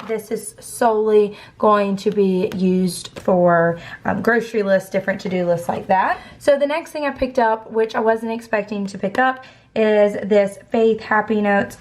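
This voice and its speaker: A young woman with laryngitis, hoarse, chatting casually through a planner haul. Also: medium pitch, slight background noise. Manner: chatty, describing items as she flips through them. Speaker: planner vlogger.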